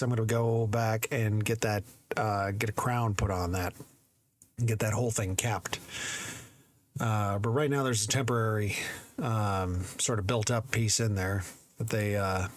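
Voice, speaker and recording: Slightly hoarse, rambling man. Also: on the low side. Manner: rambling, hesitant, many fillers, chuckles. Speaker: storytelling channel host. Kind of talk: casual chat before a story reading.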